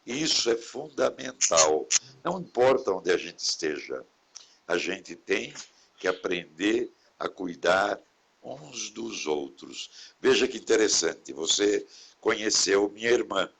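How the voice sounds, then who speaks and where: A man, a priest talking in a relaxed live conversation. His voice sits low and has a husky edge, yet it comes across bright and forceful. He speaks reflectively, drifting into gentle sermon-like remarks. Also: slow pace. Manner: warm, reflective, preachy asides about caring for others. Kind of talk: casual conversation in a live stream.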